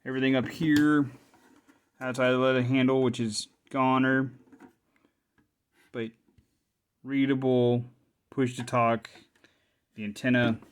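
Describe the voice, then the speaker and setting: A man giving a casual hands-on show-and-tell as a hobbyist repairer, thinking aloud in short, halting, informal phrases. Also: medium pitch. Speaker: hobbyist repairer presenting his own video.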